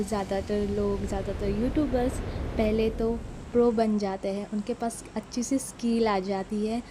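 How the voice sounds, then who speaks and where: A woman's voice: on the high side, noisy background. A Marathi-speaking woman from Maharashtra with a Mumbai Hindi accent, chatting through a voice-over of her mobile gameplay, self-deprecating and switching between Hindi and English. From Maharashtra, India. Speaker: mobile gaming YouTuber. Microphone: phone mic.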